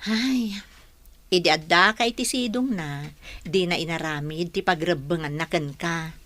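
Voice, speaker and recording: A woman's voice: on the low side, a little slow, fairly bright tone. An actress playing a frank, sympathetic woman confidante in a radio drama, matter-of-fact, with a Filipino accent. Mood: frank, concerned. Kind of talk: Ilocano radio drama acting.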